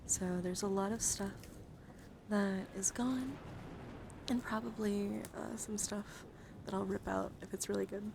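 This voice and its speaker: A woman livestreamer doing playful ASMR, drifting between whispers and soft-spoken casual chat as she coaxes and addresses her viewers directly. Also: mid-range voice, steady tone, a little slow, creaky, noisy background. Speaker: livestreamer, VTuber.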